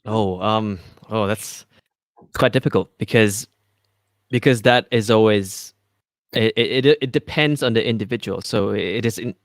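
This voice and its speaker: A man's voice: on the low side, a little slow, no room sound, studio quality. A man from Malaysia, a guest on a podcast, who answers thoughtfully with frequent ums and restarts. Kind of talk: casual interview chat.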